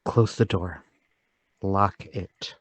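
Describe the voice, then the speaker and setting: A man performing as a haunted, timid man pours out a confession in radio-drama style. His low voice is halting and intense, pleading to be heard, and it sits dry with little room around it. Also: husky, no room sound, heavily acted. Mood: frightened, agitated. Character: timid, troubled lonely man confessing to murder, trembling. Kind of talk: dramatic radio-play table read.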